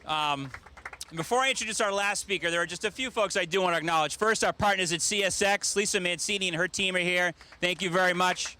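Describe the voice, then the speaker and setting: A man's voice: sits high, fast, bright, forceful tone, a little hiss or hum. A man speaking informally and off the cuff as an official host, introducing speakers with folksy joking at an outdoor event. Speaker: government official hosting a ceremony. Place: outdoor public event in rain.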